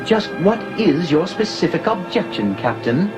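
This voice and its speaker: An actor voicing a prim, deferential protocol droid with a British accent and formal, over-polite diction in an audio drama. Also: male voice, high voice, very animated, unhurried, music in the background. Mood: polite concern.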